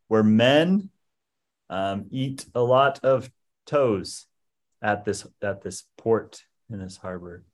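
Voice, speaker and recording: A man's voice: on the low side, animated, takes it slowly. Playful man co-hosting a chatty podcast. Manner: playful, joking. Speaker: podcast co-host. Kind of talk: casual chat.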